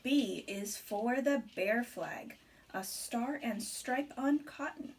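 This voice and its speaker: Expressive woman reading a picture book aloud, high voice. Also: a little hiss or hum. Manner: expressive, deliberate storybook delivery. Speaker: read-aloud host reading to a small child. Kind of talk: picture-book read-aloud in rhyme with chatty asides to a child.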